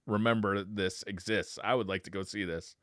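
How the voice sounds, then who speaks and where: A man's voice: lowish voice, fairly even intonation, moderate pace, fairly bright tone, no room sound, clean studio sound. An American man in his thirties, joking and slangy as he chats casually as a podcast host. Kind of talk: casual chat about retro gaming.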